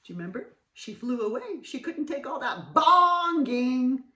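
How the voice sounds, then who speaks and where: A woman reading aloud to young children as host, expressive and dramatically emphatic, pausing to ask questions. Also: sits high. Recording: roomy echo, distant mic.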